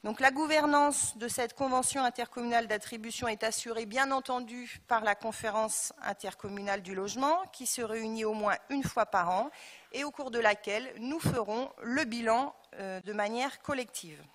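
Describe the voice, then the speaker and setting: Echoey woman presenting formally, fairly high voice. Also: moderate pace, a bit bright. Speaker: local elected official. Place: large council chamber. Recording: echoey council hall with long reverb.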